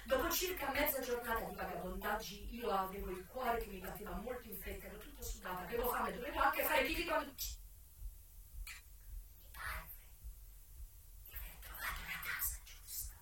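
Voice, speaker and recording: A woman acting out a first-person memoir as a dramatic stage monologue, halting with pauses and repetitions. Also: on the high side, lively intonation, a crowd in the background. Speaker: actress performing a solo stage monologue. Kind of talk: theatrical first-person storytelling of an adapted memoir. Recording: very echoey, distant mic, faint noise.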